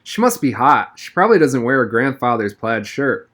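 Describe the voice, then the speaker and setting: A man's voice: medium pitch, very animated, normal speed, fairly bright tone. A man reading a storybook as a teasing schoolboy, mocking and mock-serious in manner.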